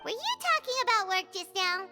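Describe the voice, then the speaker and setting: A woman voicing a tiny, childlike, excitable fairy companion with a squeaky voice in a video game. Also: a little fast. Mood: curious. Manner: speaks of herself in the third person, exclamatory. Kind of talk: English video game voice acting. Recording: loud music behind.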